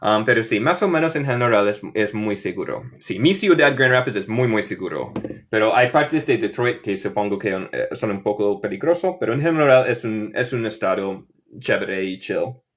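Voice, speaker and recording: American man teaching in halting Spanish. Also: fairly low pitch, expressive. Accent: American English. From Michigan, USA. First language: English. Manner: halting learner's Spanish with fillers and English switches. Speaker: English teacher. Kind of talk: casual livestream lesson.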